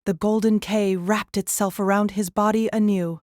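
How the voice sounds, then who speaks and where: A woman's voice: mid-range voice, steady tone, normal speed, a little mellow, no room sound, clean studio sound. A woman reading scripted narration for a fantasy story. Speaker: narrator of a fantasy audio drama.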